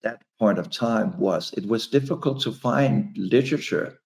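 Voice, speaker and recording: A man's voice: on the low side, normal speed, creaky, a little mellow. A man in his forties, a native Danish speaker, talking about his book in a hesitant, restarting way. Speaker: author and speaker. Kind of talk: casual conversation.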